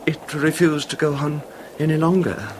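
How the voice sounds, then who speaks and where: Male actor as fretful, devoted husband for radio horror; high, very animated, stammering, on noisy old tape. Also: normal speed, lightly acted. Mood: wistful, reflective. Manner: hesitant, stammering, self-blaming. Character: anxious, obsessively devoted husband, easily overwhelmed, pleading. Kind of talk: 1970s radio horror drama acting.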